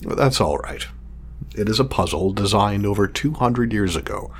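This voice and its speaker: An actor playing a meticulous male scientist in an audio drama, speaking formally and wordily, halting when flustered. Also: lowish voice, expressive, slight background noise, lightly acted. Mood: measured, pleased. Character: meticulous, fussy male scientist testing his drone creation.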